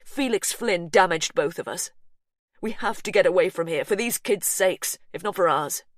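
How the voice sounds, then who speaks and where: A woman's voice: fairly low pitch, lively intonation, normal speed, no room sound, studio quality. A woman reading the lines of an anguished man pleading with his family, in an earnest, urgent manner.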